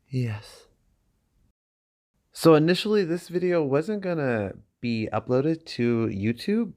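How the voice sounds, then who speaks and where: A man talking casually and cheerfully to his viewers as a video creator, in a giggly, informal way. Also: medium pitch.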